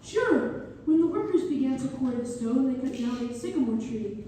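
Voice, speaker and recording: A woman's voice: sits high, lively intonation, normal speed, warm tone. A woman playing an earnest young male architect who fondly recalls his patron in a staged read-through. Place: reverberant hall, community theater performance. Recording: echoey hall from far off, faint noise.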